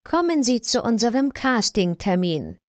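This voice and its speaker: A woman reading a simple story aloud slowly and clearly for language learners. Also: fairly high pitch, sing-song, no echo. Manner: slow, clear, evenly paced reading. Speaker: narrator.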